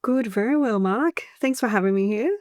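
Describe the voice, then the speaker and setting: A woman in her thirties from Taiwan, an English speaker, talking in a soft-spoken, thoughtful way as an interview guest. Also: on the high side, moderate pace, no echo, studio quality. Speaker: singer-songwriter guest. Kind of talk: relaxed interview chat.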